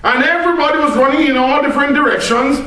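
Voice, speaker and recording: A woman's voice: sits high, fast, fairly bright tone. A woman telling a comic tall tale live to an audience in a theatrical, mock-earnest manner, in a room. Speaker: storyteller at a speech club. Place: echoey indoor room, speaking to an audience. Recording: very echoey room, distant microphone.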